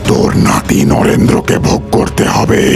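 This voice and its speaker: A man's voice: normal speed, lightly acted. A man voicing a huge, vengeful, hungry demon in a horror cartoon, guttural and menacing, repeating words ominously. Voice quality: gravelly, guttural. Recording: loud music behind. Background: music.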